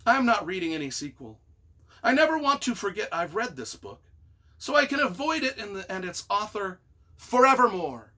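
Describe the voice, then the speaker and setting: Animated, high-pitched man reacting to reviews. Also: moderate pace. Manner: theatrical, emphatic, mock-exasperated. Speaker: author reading and reacting to reviews. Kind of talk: reading reviews aloud with off-the-cuff commentary.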